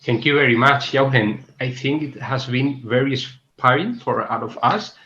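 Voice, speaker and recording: A man's voice: mid-range voice, moderate pace, pushed and bright. Echoey, muffled man hosting a meetup. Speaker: meetup host. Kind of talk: event moderation. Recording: muffled, echoey room sound.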